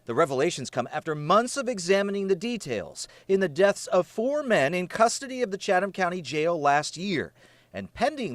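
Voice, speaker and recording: A man delivers a serious, emphatic TV news report in an American accent. His voice sits high for a man and moves with lively intonation. The sound is dry and close, like a studio voice-over. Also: no room sound. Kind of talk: scripted investigative news narration.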